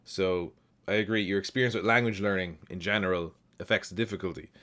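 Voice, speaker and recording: An Irish man with a lowish voice hosts a casual comment-response video. He talks in a reflective, explanatory manner, reading a remark and then giving his own answer. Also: fairly even intonation, fast, sharp tone. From Ireland. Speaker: language channel host.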